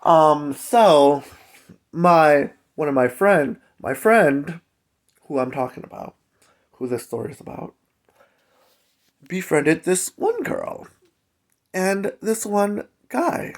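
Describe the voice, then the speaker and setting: A man's voice: a little high, big pitch swings, takes it slowly, pauses often. A man telling a personal story in a casual, chatty, conversational vlog style with emphatic asides. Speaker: storytelling vlogger.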